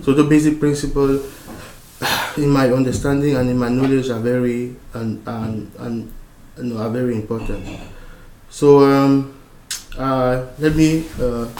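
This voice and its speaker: Halting man lecturing from Cameroon. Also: medium pitch, steady tone, darkish tone, echoey room, a little hiss or hum, low-quality recording. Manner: halting, many fillers and restarts. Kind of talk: informal lecture over a diagram.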